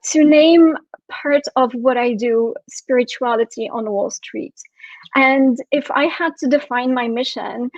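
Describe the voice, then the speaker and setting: Soft-spoken woman, dull dry recording. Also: high voice, no room sound. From France and Syria. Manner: soft-spoken, reflective, many fillers. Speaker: expo speaker, spiritual coach. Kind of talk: online expo talk.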